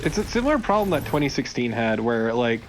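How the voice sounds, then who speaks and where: A man's voice: medium pitch, sing-song, fast, noisy background. A man chatting casually as co-commentator on a video game, dry and opinionated. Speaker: let's play co-commentator. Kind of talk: casual gameplay chat.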